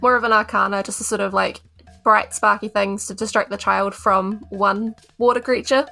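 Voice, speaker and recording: A woman's voice: medium pitch, fairly even intonation, moderate pace, vocal fry, bright, forceful tone, soft background music. A woman with a New Zealand accent, talking casually as a player improvising in a tabletop game. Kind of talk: casual improvised roleplay chat.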